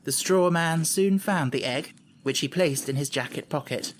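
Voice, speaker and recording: A man's voice: on the high side, moderate pace, slight background noise. A man narrating a children's story as a scripted audiobook reading.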